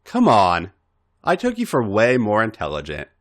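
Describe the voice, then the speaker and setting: A man's voice: on the low side, wildly swooping pitch, moderate pace, a bit bright. A man voicing a smug, taunting male wrestler rival with mocking emphasis in an audio story.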